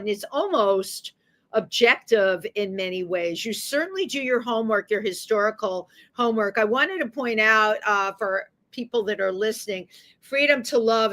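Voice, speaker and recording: A woman's voice: mid-range voice, pushed and bright. A woman from the Bronx, hosting a radio interview in a chatty, rambling way. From the Bronx, New York. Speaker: radio talk show host.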